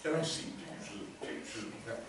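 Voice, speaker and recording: A man with a big deep voice giving a eulogy, pausing often and laughing between memories. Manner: halting, with pauses and fillers, laughs between stories. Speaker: eulogy speaker. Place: memorial gathering, room with listeners. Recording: echoey room from far off, noisy.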